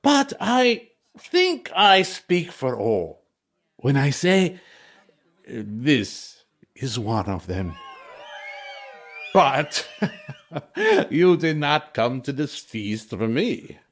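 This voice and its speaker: An actor playing a genial, ceremonious middle-aged merchant hosting guests in an audio drama, with music underneath. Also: male voice, on the high side, huge pitch swings, very slow, long pauses, exaggerated voice. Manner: hearty, ceremonious, chuckling. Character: jovial, genial wealthy merchant host, proud Monsieur Morel.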